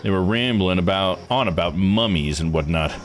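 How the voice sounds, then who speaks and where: A man voicing a gruff, folksy old island boss in a visual novel, blunt and dismissive. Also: low-pitched, normal speed, music in the background, lightly acted. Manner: blunt, slangy, domineering. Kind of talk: visual novel read-aloud voice acting.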